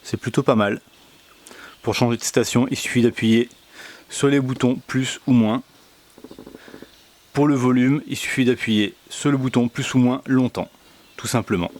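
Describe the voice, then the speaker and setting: A man giving a casual product demonstration indoors, speaking in a hesitant, halting way with many pauses. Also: mid-range voice. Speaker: product reviewer.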